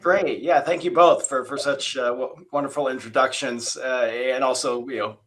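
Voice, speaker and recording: A man's voice: mid-range voice, steady tone, normal speed, a bit bright. A man moderating a design panel, reading guest introductions and then chatting casually with many fillers and restarts.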